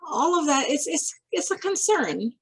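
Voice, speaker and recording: A middle-aged woman from Guyana speaking in a halting, thoughtful way, pausing and restarting as she reflects. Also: high-pitched, very animated, no echo. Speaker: interviewee. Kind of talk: casual reflective talk.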